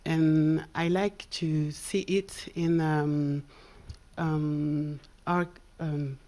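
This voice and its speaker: A woman's voice: deep voice, little pitch movement, drawn-out words, mellow. A woman architect from Cameroon asking a question from the audience, hesitant and searching for words.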